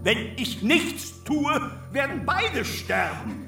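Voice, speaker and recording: High-voiced, tense surgeon, swooping pitch. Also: male voice, very animated, slow pace, vocal fry, sharp tone, echoey room, recorded from a distance, faint music behind, full character voice. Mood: grave, urgent. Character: surgeon at a difficult birth, urgent and clinical. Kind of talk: radio drama acting.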